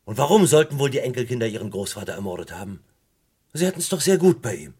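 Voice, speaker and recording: An actor playing a stern, impatient British police interrogator in a German radio crime play, with a commanding, sarcastic way of questioning. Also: male voice, mid-range voice, sing-song, fast, mellow, slightly rough. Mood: sarcastic disbelief.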